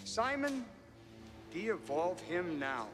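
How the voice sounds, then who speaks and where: An actor playing a scheming, mocking middle-aged tyrant in a live-action film, speaking with deliberate menace. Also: male voice, high voice, sing-song, over music, exaggerated voice.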